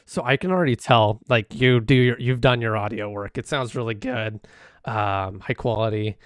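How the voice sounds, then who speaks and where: A hoarse man live-streaming, casually critiquing videos with many fillers and restarts. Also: mid-range voice, normal speed, no echo, clean studio sound.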